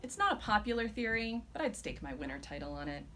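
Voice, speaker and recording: A woman's voice: mid-range voice, very animated, quick pace, a bit bright, pitched above the performer's own voice. A woman voicing an earnest, indignant teenage girl superfan in a live novel reading. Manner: insistent, correcting others. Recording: live event with background noise.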